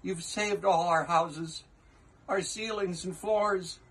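Minuscule storybook mayor, man putting it on: high, a little husky, heartfelt, grateful and courteous. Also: fairly even intonation, slow pace, a bit bright, exaggerated voice, low-quality recording. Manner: polite, heartfelt. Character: tiny, grateful, friendly mayor of a speck-sized town. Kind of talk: storybook character reading.

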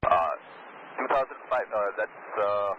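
A man speaking as an airline pilot over aviation radio, in halting, hesitant phrases. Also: fairly low pitch, lively intonation. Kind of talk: radio exchange with air traffic control. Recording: muffled lo-fi cockpit radio, loud static.

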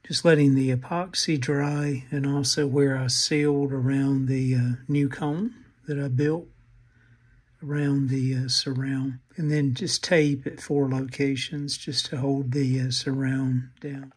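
An older man giving a casual, matter-of-fact, halting narration of hands-on repair work at a workbench. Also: medium pitch, little pitch movement, breathy edge. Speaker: vintage radio repair hobbyist, channel host.

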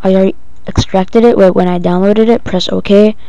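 Flat-toned tutorial voice, female-sounding, dull recording. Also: mid-range voice, clear, resonant tone, no echo. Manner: halting, improvised step-by-step instructions. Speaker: tutorial presenter. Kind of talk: casual screen-recorded software tutorial.

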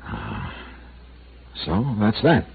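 Wry radio insurance investigator. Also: male voice, sits low, huge pitch swings, drawn-out words, gravelly, husky, exaggerated voice. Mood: skeptical. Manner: dry, skeptical, probing questions. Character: wry insurance investigator, male, middle-aged. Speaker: narrator and lead. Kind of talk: 1950s radio drama acting. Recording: tinny lo-fi old radio broadcast with hiss.

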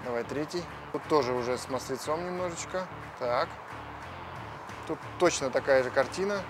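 A man's voice: medium pitch, normal speed, over music. Chatty man explaining his car engine. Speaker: car vlogger. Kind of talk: casual car vlog explanation. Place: car service garage, indoors, and outdoors near car.

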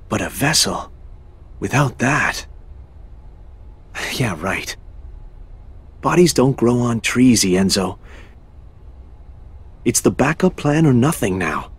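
An actor playing an earnest young male researcher in a video game, soft-spoken and breathy. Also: medium pitch, lively intonation, slow, pauses often, heavily acted. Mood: pensive.

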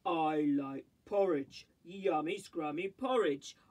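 A woman voicing a big male father bear in a sung children's rhyme, slow and booming. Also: a little mellow, far from the mic. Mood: hearty. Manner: slow, booming sing-song.

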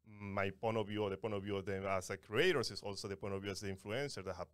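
A man from Venezuela with a Venezuelan Spanish accent, a wedding content creator guesting on a podcast, speaking halting English with restarts on a studio mic. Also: fairly low pitch, steady tone, clean tone, no room sound. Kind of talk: casual podcast interview. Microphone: studio mic on boom arm, headphones.

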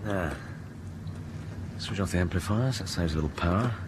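An actor playing an informal, jumpy spaceship radio operator in a 1980s radio science-fiction drama. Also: male voice, low-pitched, moderate pace, background noise, natural voice. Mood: muttering, routine.

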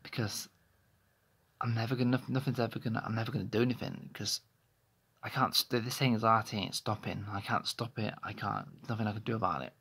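A man's voice: fairly low pitch, steady tone, fairly quick, vocal fry, a bit bright, slightly rough. A man in his thirties talking candidly into his phone in a small bedroom, hesitant and rambling with many restarts. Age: 30s. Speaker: vlogger. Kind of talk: candid personal vlog monologue. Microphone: phone held close to face.

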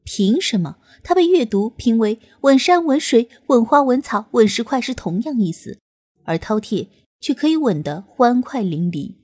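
A woman narrating a fantasy audiobook with a slow, expressive reading. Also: fairly high pitch. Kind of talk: storybook narration.

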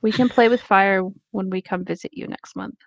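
A woman's voice: medium pitch, fairly even intonation, normal speed, vocal fry, dull audio. A woman chatting casually and bluntly on a group call, playful and unbothered.

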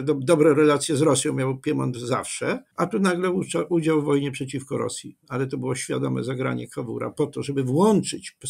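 An older man, a history professor, with a strongly gravelly, husky voice pitched somewhat high for a man. He explains at length in a measured, digressive way, full of fillers and restarts. He speaks into a webcam from a home study. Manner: measured, digressive, with many fillers and self-corrections. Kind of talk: lecture-style interview answer.

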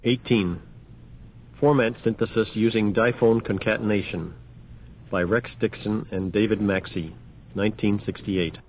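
Male narrator, measured and factual, lowish pitch, narrow-band archival sound. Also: fairly even intonation. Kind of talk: scripted announcements of numbered demo examples. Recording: dull band-limited old audio.

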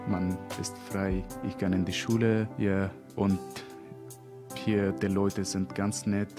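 A young man from Afghanistan whose first language is Dari, speaking German slowly and haltingly with an Afghan accent in a small radio studio. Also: deep voice, level tone, over music. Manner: slow, halting speech with broken grammar. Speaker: interviewee. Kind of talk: interview answers. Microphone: studio mic on a boom arm.

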